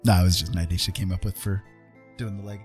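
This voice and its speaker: A man's voice: low voice, steady tone, quick pace, a little mellow. A man hosting a casual livestream, chatting in an easygoing, rambling, jokey way. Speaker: livestream host and digital artist. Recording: music playing behind the talk. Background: background music.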